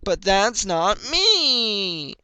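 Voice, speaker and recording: A man plays a young country pony girl in a storybook, pitched well up. She is feisty and stubborn, folksy in her talk, and given to pleading and protest. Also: sing-song, drawn-out words, sharp tone, clear, resonant tone, no echo, exaggerated voice. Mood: complaining.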